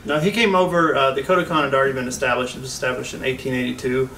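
A middle-aged man explains martial arts history at a measured pace, calm and informative. His mid-pitched voice sounds a little distant from the mic, with faint hiss behind it. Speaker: jiu-jitsu historian guest. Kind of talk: casual explanatory talk.

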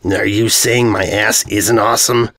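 A man's voice: on the low side, steady tone, measured pace, a bit bright. A man voicing a brash, vain female cartoon pony, cocky and challenging, in a fan story reading. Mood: menacing.

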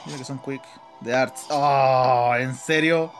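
A man's voice: mid-range voice, steady tone, takes it slowly. A man with a Chilean Spanish accent giving casual, informal gameplay commentary, thinking aloud as he plays. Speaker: gaming video host. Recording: game music behind the voice. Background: game music.

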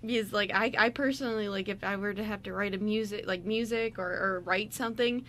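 A young woman on a radio show, chatting casually and haltingly, repeating words as she goes. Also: medium pitch, fairly even intonation, fairly bright tone. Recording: clear background room noise.

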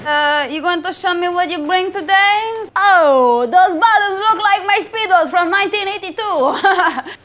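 A woman's voice: very high voice, unhurried, fairly bright tone. A woman doing a brash Italian pasta boss. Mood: pushy. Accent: put-on Italian accent. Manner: broken English, bossy and blunt. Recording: noisy background.

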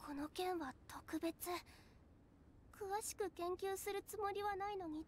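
A woman voicing a shy, earnest young female game alchemist, soft and girlish, stammering and precise in her wording. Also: very high-pitched, slow, slight background noise. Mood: earnest, fascinated. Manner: hesitant stammering, formal and precise. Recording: game music behind the voice. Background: game music.

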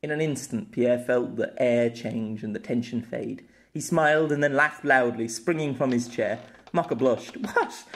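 A man's voice: mid-range voice, moderate pace. A man reading scripted story narration as an amateur audiobook narrator.

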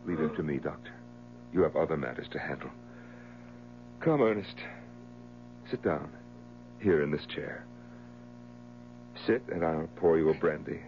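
An actor playing a sober, consoling friend with measured delivery in a 1970s radio drama. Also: male voice, rumbling low, animated. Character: steady, consoling male friend of the mourner. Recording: muffled old radio recording, mains hum.

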